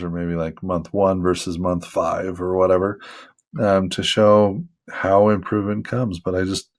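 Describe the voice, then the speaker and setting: Low, gravelly-voiced man chatting casually. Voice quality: soothing voice. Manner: rambling, relaxed, self-deprecating, many fillers. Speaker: hobby artist vlogger. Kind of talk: casual talk while drawing.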